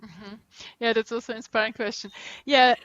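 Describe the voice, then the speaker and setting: Czech-accented woman, earnest and halting. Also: a little high, fairly even intonation, fairly bright tone, dry recording, lo-fi sound. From Czech Republic. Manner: earnest, searching for words with many restarts. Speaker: guest, LinkedIn personal brand coach. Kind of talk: interview answers.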